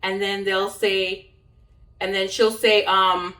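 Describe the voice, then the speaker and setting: A woman's voice: medium pitch, little pitch movement, slow, pushed and bright, distant mic. Chatty woman rambling while she cooks. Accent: New York. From born in Jamaica, raised in Brooklyn, New York. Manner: rambling and chatty, laughs often, talks while chopping. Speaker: cooking vlogger. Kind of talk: casual chat while cooking.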